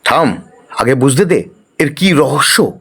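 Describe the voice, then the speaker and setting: Earnest young village hero, voiced by a man, husky. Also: medium pitch, animated, takes it slowly, fairly bright tone, heavily acted. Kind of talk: fairy-tale cartoon acting. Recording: music behind.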